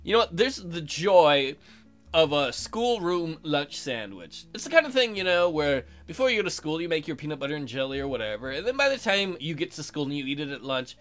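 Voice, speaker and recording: Male let's play host, lively swinging pitch, sarcastic asides between read-aloud text, music behind. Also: brisk. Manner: joking, sarcastic, swearing. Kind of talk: casual game commentary, reading text aloud.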